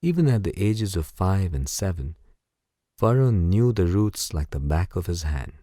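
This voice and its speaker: A man narrating a bedtime story as guided sleep meditation in a soothing, gentle, unhurried way. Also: deep voice, very animated, dry recording, studio quality.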